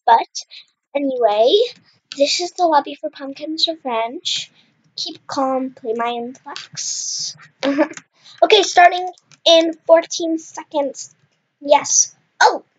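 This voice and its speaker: Young girl gamer, high voice, talks kinda slow, lil cough, bit muffled lo-fi mic. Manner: chatty, exclaims at the game, narrates own moves. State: slight cough, clears throat. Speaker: gaming channel host. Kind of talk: casual Minecraft let's play commentary.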